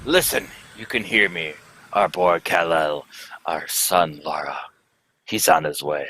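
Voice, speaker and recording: Man acting a grave, low-voiced doomed scientist father. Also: a little slow, bright, forceful tone, full character voice, low-quality recording. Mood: grave, solemn. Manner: solemn, dramatic, urgent. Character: grave, commanding alien scientist father facing doom. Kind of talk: old-time radio drama acting.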